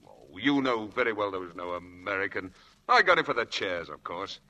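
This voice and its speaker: An actor playing an exuberant, charming, roguish gambling husband in theatrical 1940s radio style. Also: male voice, on the low side, fairly quick, highs cut off. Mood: coaxing. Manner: theatrical, excitable, coaxing. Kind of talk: 1940s radio drama acting. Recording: vintage radio drama broadcast.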